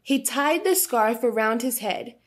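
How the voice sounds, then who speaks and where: Storytime host: young woman, high voice, unhurried pace, teacherly. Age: young adult. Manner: expressive, teacherly, prompting predictions. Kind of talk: children's read-aloud with lesson commentary.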